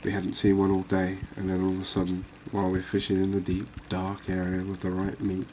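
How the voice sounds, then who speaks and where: A man giving relaxed, unhurried, musing ASMR-style gameplay commentary, chatting to his viewers. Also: low-pitched, slight background noise, rough recording. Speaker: host of a cozy fishing game channel.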